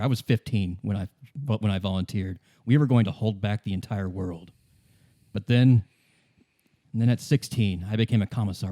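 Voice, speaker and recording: A man playing a bitter, weary old communist deserter in a radio play, a defiant former commissar who speaks haltingly and insistently. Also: on the low side, dark tone, close to an everyday voice. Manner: halting, repeating himself, grimly insistent.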